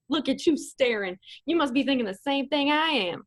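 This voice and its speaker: A woman's voice: high-pitched, expressive, measured pace. A woman playing an easygoing, teasing male babysitter in a comedic radio play. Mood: playful. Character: easygoing, joking man babysitting a baby.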